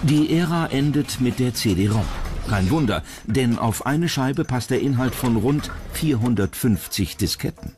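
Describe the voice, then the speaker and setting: Guy narrating a documentary, scripted read, mid-pitched voice, music going behind him. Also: lively intonation, measured pace. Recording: music under the voice. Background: background music.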